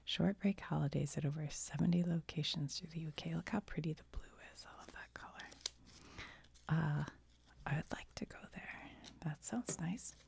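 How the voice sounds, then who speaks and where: An American woman whispering in a casual ASMR show-and-tell style, musing aloud to the viewer as she reads things out in a breathy, gravelly voice. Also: low-pitched, normal speed, a little mellow.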